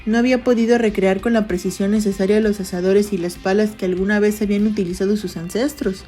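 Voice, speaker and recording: A woman's voice: mid-range voice, steady tone, fast talker, dark tone. A woman reading a scripted literary narration for an audio drama. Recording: music bed under voice. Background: background music.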